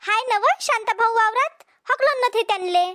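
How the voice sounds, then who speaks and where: A woman's voice: extremely high pitch, normal speed, pushed and bright. A woman playing a chatty, helpful village farm worker in a cartoon comedy, speaking homely Marathi with a Vidarbha accent. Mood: curious.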